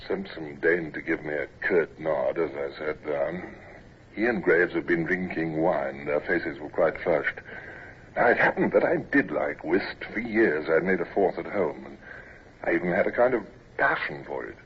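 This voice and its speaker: A deep-voiced reflective naval narrator. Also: male voice, expressive. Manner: reflective, measured. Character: grown male naval officer recalling his youth. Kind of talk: 1940s radio narration. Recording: dull lo-fi old radio recording with faint hiss.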